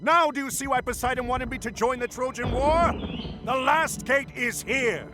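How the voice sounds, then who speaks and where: A man's voice: very high-pitched, normal speed. An actor playing a resolute, weary male warrior leader in a video game, grave and commanding. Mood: urgent. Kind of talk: dramatic video game cutscene acting.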